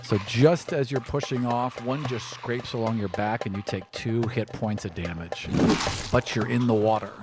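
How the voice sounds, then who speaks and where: Guy dm calmly narrating jungle scenes, mid pitch, music playing under him. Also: normal speed. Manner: relaxed, wry descriptive narration. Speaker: dungeon master. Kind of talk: narrating a tabletop game.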